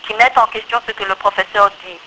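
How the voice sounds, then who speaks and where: A woman's voice: medium pitch, fairly even intonation, fast, sharp tone, slight background noise, rough recording. A woman in her thirties from the Congo with a Congolese accent, explaining her views in a conversational interview and repeating points for emphasis. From Democratic Republic of the Congo. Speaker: interview guest, electrical engineer.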